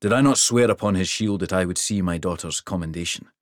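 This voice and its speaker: A man's voice: deep voice, a little fast, dry recording, clean studio sound, close to an everyday voice. A man voicing a jovial, towering king who speaks in a hearty, commanding way. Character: booming jovial giant of a king.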